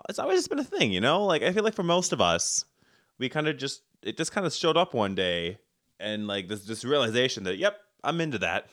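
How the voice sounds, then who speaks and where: A man co-hosting a casual podcast chat, laid-back and quick to laugh. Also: mid-range voice, huge pitch swings, fairly bright tone, no echo, studio quality. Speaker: podcast co-host.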